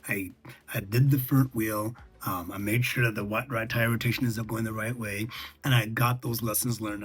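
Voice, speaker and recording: A man with a husky, slightly rough voice talks casually, as a vlogger sharing practical advice. He is conversational and often checks in with the listener. His pitch sits in the middle range, and music plays behind him. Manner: conversational, checks in with "okay" and "all right". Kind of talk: casual chat, recounting lessons learned.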